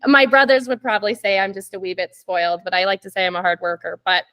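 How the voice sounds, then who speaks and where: A young woman from Canada chats as a podcast guest, telling stories with frequent laughs and a steady stream of "like" and "um". Her voice sits at an ordinary pitch for a woman. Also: fast, smooth. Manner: chatty, laughs often, many "like" and "um" fillers. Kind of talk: casual storytelling chat.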